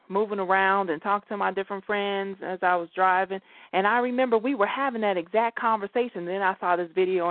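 A dry, phone-like sound carries a woman's mid-pitched voice. She hosts a radio talk show, chatting conversationally and speaking straight to her audience. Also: dry recording. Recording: dry, thin phone-like line.

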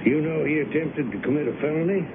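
Gravelly, firm, suspicious policeman. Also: fairly high pitch, fast talker. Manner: official, matter-of-fact, dry. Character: firm, unhurried, suspicious male police officer on duty. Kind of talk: radio drama acting. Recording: lo-fi, noisy old radio broadcast.

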